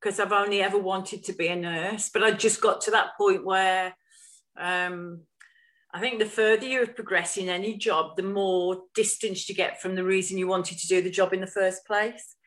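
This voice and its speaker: A woman's voice: medium pitch, fairly even intonation, moderate pace, fairly bright tone. A woman co-hosting a casual live podcast, speaking in a thoughtful, reflective way. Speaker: podcast co-host.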